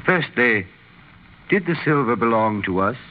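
An actor playing a serene, pious old bishop with a measured, solemn manner in a 1930s radio drama. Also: male voice, mid-range voice, animated, natural voice. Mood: calm, unruffled. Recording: dull, noisy 1930s radio recording.

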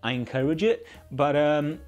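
A man's voice: on the high side, animated, moderate pace, a little mellow, faint music behind. A man in his thirties giving an informal, self-deprecating DIY tutorial in a casual narrating style. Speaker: maker presenting a DIY build.

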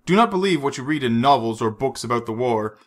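A man reading a storybook, voicing a suave, menacing male villain who mocks his captive with unhurried threats. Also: mid-range voice, lively intonation, natural voice. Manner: silky, mocking, unhurried threats.

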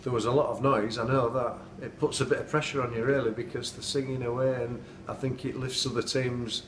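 A man's voice: mid-range voice, steady tone, fairly quick, recorded from a distance. A middle-aged man, a football manager giving a plain-spoken, earnest post-match interview indoors at night. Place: indoors at night, dim room. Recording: echoey room, off-mic, noisy.

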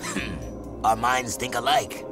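An actor playing a solemn, proud older desert ruler in a video game, speaking gravely and formally. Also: male voice, medium pitch, slow, natural voice. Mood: reflective. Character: solemn proud older desert king, weary but resolute. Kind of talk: video game cutscene acting. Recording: music behind the voice.

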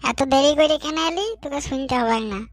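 A man playing a bossy, teasing young friend in a comic cartoon, using colloquial rural western West Bengal dialect and a pitched-up voice. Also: moderate pace, no room sound, faint music behind. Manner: colloquial, mocking, scolding.

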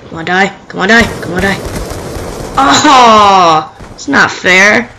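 Woman, sniffly with a cold, excitable gameplay commentary at a mid pitch, faint gunfire under the voice. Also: slow pace. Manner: excitable, chatty, cries out during fights. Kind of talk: casual live gameplay commentary. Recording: faint gunfire and game noise behind.

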